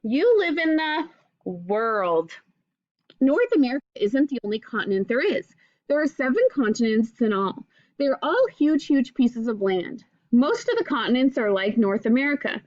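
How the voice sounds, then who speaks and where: A woman's voice: high voice, no room sound, dull audio. A woman reading a children's book aloud expressively, with simple explanatory asides in the manner of a teacher. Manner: expressive, simple phrasing aimed at young children.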